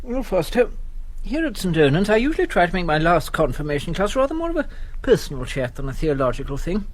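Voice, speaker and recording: A man's voice: high voice, big pitch swings, fast talker. A man with an English accent playing an awkward, chummy, hesitant schoolmaster in a comic radio drama. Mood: hearty, awkward. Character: hearty, awkward English schoolmaster giving confirmation class.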